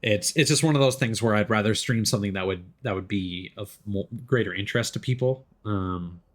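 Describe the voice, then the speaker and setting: A man's voice: fairly low pitch, a little fast. A man chatting casually as he commentates on a game for a livestream. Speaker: streamer.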